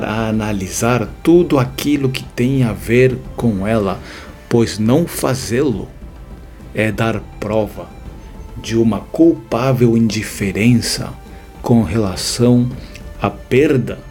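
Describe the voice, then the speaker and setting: A man hosting an educational series, explaining ideas in a measured lecture with deliberate, emphatic repetitions. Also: medium pitch, darkish tone. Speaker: host of an educational series on strategy.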